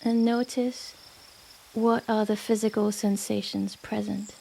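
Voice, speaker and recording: A woman guiding a yoga nidra meditation in a gentle, unhurried manner with long pauses. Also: mid-range voice, flat delivery, gravelly, a little hiss or hum. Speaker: meditation guide. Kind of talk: guided yoga nidra meditation, soothing narration.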